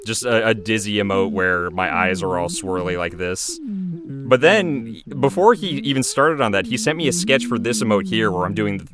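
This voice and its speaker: A man giving rambling, easygoing commentary on his own animated episode, speaking casually as its creator. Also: fairly low pitch, normal speed, music in the background.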